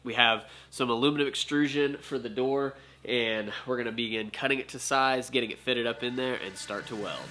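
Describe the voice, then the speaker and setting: A man's voice: medium pitch, fairly even intonation, brisk, few pauses, sharp tone. An American man hosting a build vlog, talking shop in a casual, upbeat way.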